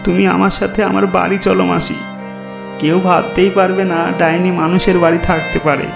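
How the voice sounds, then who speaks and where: An earnest, pleading village man acted by a male narrator from a cartoon ghost story. His voice is pushed high and slightly creaky, with roomy echo around it. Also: level tone, moderate pace. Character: Bhola, remorseful, kind-hearted poor village man. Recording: roomy echo, music behind.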